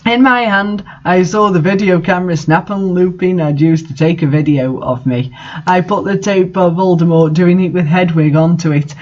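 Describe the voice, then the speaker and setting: A woman's voice: fairly low pitch, moderate pace, darkish tone. A woman giving a dramatic, mocking amateur reading of fan fiction, with her own commentary. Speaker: reader. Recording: dull lo-fi sound.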